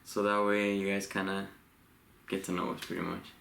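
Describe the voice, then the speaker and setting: A young man with a very low, gravelly voice chats loosely through a couple's vlog, laughing and trailing off as he tells stories. The room is echoey, with a faint hiss under the voice. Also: normal speed. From Los Angeles, USA. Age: 20s. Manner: relaxed, rambling, laughs often. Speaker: vlogger co-host. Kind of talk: casual couple Q&A chat.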